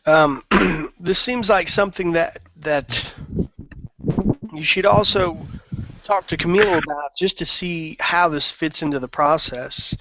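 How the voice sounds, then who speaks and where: A man's voice: fairly high pitch, a little slow, bright, forceful tone, dull audio, slight background noise. A man giving feedback as a participant in a casual work meeting discussion.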